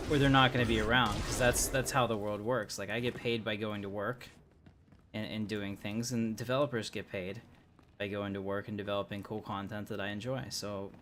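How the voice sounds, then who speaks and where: A man's voice: fairly low pitch, fairly bright tone, a little hiss or hum. A man livestreaming a game who chats casually in a rambling way with frequent fillers. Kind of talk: casual gameplay chat.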